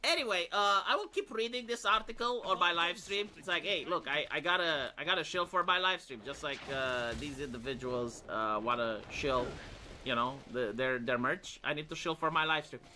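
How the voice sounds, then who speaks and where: A man's voice: fairly high pitch, moderate pace, sharp tone. A man giving casual, off-the-cuff reaction commentary as a streamer, argumentative and sardonic, often throwing out rhetorical questions. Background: music behind the voice.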